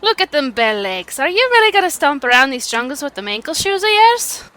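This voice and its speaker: Woman acting a cocky, high-voiced young pirate captain. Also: very animated, a little fast, bright, forceful tone, heavily acted. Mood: teasing. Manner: teasing, swaggering, folksy pirate dialect. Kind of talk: audio drama acting.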